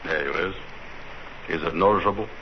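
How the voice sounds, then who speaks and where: A boastful man voiced by an actor holds forth in low, easygoing tones and loose folksy grammar. The old broadcast comes through muffled with a faint hiss, in classic 1940s radio-comedy style. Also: a little fast, natural voice. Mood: bragging. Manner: folksy, slangy grammar, blustering. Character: boastful, smooth-talking man trying to impress a girl.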